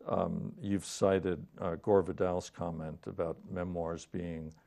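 An older man hosting a literary interview, asking questions in a measured, hesitant way. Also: low-pitched, little pitch movement, gravelly, mellow. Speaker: interviewer, host. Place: TV studio, indoors. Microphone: lapel mic.

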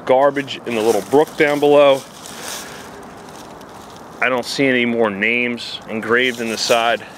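A man's voice: medium pitch, little pitch movement, unhurried, a bit bright, slight background noise. Man narrating an outdoor woods walk. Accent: New Jersey. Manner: plain descriptive narration with fillers. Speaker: outdoor explorer vlogger. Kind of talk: casual walking commentary. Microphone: handheld camera.

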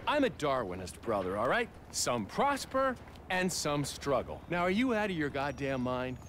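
A man's voice: medium pitch, big pitch swings, normal speed, fairly bright tone, slight background noise. An actor playing a smug middle-aged businessman in a video game cutscene, talking down to others and lecturing. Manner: condescending, lecturing.

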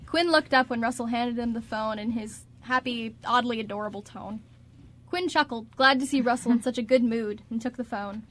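A woman's voice: a little high, moderate pace, a bit bright. A woman reading scripted narration for a radio drama.

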